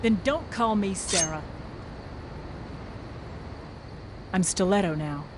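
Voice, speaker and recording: A woman's voice: mid-range voice, normal speed, lightly acted. A woman playing a cool, tough, sardonic mercenary in a video game, teasing and curt, over background noise. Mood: cold.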